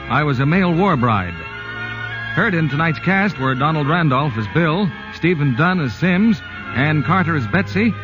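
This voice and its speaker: Polished 1940s radio announcer. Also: male voice, fairly high pitch. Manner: smooth, polished announcer read. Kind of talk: scripted announcing and ad copy. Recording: muffled 1940s radio broadcast with music underneath.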